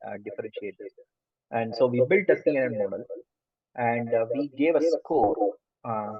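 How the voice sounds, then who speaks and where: A man's voice: medium pitch, slightly rough, far from the mic. A man with an Indian accent giving an online class, hesitant with many fillers and restarts. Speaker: lecturer. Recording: phone-like muffled line from afar.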